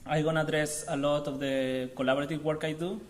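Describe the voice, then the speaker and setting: A man's voice: on the high side, flat delivery, slight background noise. Chilean man in his thirties giving an artist talk. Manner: halting, informal, searching for words. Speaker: visiting artist.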